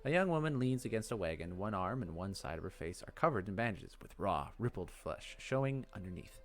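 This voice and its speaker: A man's voice: on the low side, big pitch swings, fairly quick. A man reading game lore aloud as narration for a let's play. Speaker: gaming video host.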